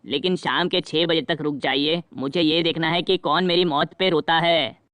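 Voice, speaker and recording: A man's voice: on the high side, steady tone, normal speed, a bit bright, clean tone, dry recording, close to an everyday voice. Man playing an anxious, pleading cartoon dead husband. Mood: anxious, puzzled. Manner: nervous, wheedling. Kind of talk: cartoon voice acting.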